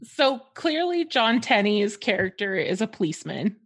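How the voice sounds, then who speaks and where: A woman co-hosting a podcast with a husky, smoky voice, playful and quick to laugh. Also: very animated, fairly bright tone. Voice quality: low husky smoker's voice. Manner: laughs often, playful, teasing. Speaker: podcast co-host. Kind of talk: casual comedic chat.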